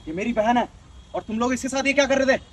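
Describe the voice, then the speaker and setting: A man acts out an angry, protective boss in an amateur melodrama. He commands and scolds at a quick pace, his voice pitched very high. Faint traffic-like noise sits behind him. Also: steady tone. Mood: angry, stern. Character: stern, protective male boss, angry brother. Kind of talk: amateur Hindi melodrama skit acting.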